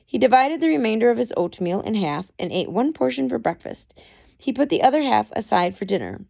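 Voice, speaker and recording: A woman's voice: a little high, sing-song, a little fast, creaky, darkish tone. A woman narrating a story aloud from a book, reading it expressively. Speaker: amateur audiobook reader.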